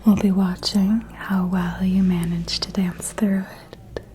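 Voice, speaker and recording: A woman playing a refined, shrewd vampire noble who gossips in an elegant, deliberate manner in a whispered ASMR roleplay. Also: mid-range voice, level tone, lightly acted. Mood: knowing, commanding. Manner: slow, deliberate, elegant, hushed insinuation.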